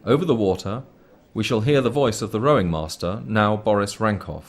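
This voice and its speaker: A man reading a scripted documentary commentary in a formal, measured way. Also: lowish voice, a little hiss or hum. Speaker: narrator.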